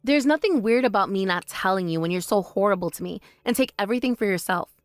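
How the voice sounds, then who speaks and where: A woman voicing a calm, composed older sister who speaks in a measured, quietly cutting way in a voice drama. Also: medium pitch, no room sound, studio quality, soft background music, close to an everyday voice. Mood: cool. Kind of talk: voice drama, one performer.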